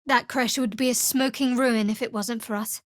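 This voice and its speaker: An actress playing a guarded, sardonic young woman cleric devoted to a dark goddess in a video game, with dry, wry, measured delivery. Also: a little high, steady tone, no room sound, natural voice. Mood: guarded, composed. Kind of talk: video game character voice lines.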